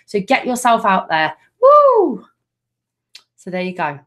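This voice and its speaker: A middle-aged woman from Nottingham, England, giving a live angel card reading in a gentle, soothing, affectionate way, with lots of fillers and endearments. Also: fairly high pitch, wildly swooping pitch, takes it slowly.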